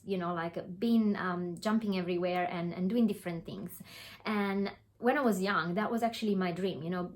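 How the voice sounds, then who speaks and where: A woman in her thirties from Italy with an Italian accent, talking straight to her phone camera in an earnest way, with many fillers and restarts. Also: mid-range voice. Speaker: online business coach. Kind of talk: direct-to-camera webinar talk. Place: home room.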